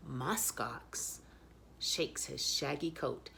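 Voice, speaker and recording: A woman telling children's stories in an expressive read-aloud style. Her voice is low with a creaky edge, and she takes her time over the phrases. Faint noise sits behind her. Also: drawn-out words. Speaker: children's storyteller.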